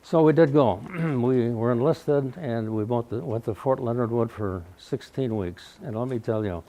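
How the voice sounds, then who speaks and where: A man around ninety with a mellow voice, telling his life story to a live audience in a folksy way. He speaks loudly because he has no microphone and often clears his throat. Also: mid-range voice, unhurried, poor audio. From Wausau, Wisconsin, USA. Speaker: guest speaker. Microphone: no microphone, recorded in the room.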